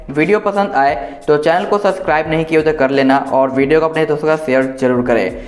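A man presenting an informal tech review in an explanatory, reassuring way. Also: fairly high pitch. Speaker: tech reviewer, video host. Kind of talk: informal phone software review narration. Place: indoors, echoey room. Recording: roomy indoor echo.

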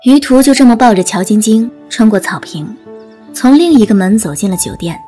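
A woman's voice: a little high, lively intonation, a little fast, warm tone. A woman narrating a scripted dramatic audiobook story. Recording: music bed under narration.

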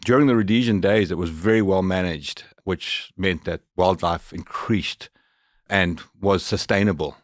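A middle-aged man with a deep voice and a Zimbabwean accent talks as a podcast guest. He tells his story conversationally, in a very dry recording. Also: normal speed, no room sound. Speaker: podcast guest, professional hunter.

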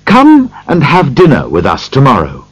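Man playing kind-hearted young nephew, storybook character, raised bouncy pitch, hearty, earnest, exclamatory. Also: wildly swooping pitch, normal speed, husky, dry recording, dull audio. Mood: friendly, pleading.

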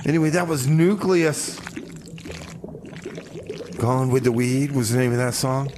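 A man's voice: measured pace, background noise, lightly acted. A man playing an easygoing, hesitant mechanic filling in as a radio DJ. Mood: low-key, reluctant. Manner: hesitant, low-key.

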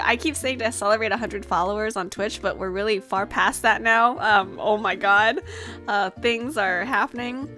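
A woman's voice: a little high, pushed and bright. A young adult woman chatting casually and playfully on a gaming livestream, rambling and giggly, into a desk microphone at home. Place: small room at home, indoors. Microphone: desk microphone with headset. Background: music.

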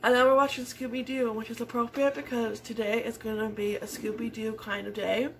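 A woman's voice: fairly high pitch, flat delivery, brisk, creaky, a little hiss or hum. A woman in her thirties chatting casually to camera in her home kitchen, rambling and laughing often. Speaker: vlogger. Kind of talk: casual morning chat to camera.

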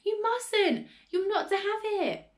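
A woman's voice: extremely high pitch, moderate pace, fairly bright tone, pitched above the performer's own voice. A woman voicing an earnest, worried little boy in a storybook, protesting urgently. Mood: pleading, alarmed.

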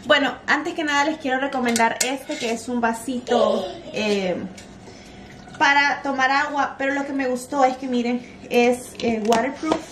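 A woman's voice: high voice, normal speed, background noise. Tired, chatty woman in her thirties. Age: 30s. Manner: chatty and playful, tired but cheerful. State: tired and sleepy. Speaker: vlogger. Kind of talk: casual home vlog. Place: home kitchen indoors. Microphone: handheld phone camera.

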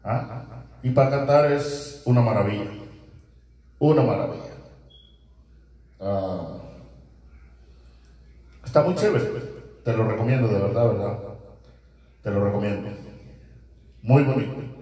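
Middle-aged man, unhurried upbeat home product demo, handheld mic with lots of echo, picked up by a distant camera. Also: mid-range voice. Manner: relaxed, chatty, upbeat. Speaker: product reviewer. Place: small home living room, indoors. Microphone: handheld karaoke mic through speaker, filmed by far camera. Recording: echoey karaoke speaker, far camera.

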